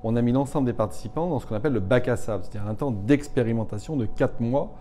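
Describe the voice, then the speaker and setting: A man calmly explaining, step by step and in an orderly way, how a training program was built. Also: mid-range voice, fast, slight background noise. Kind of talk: explanatory interview.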